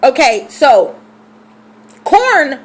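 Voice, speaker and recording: A woman's voice: high-pitched, very animated, very slow. A woman vlogger talking casually to camera, emphatic and repeating figures for stress, with a scratchy throat.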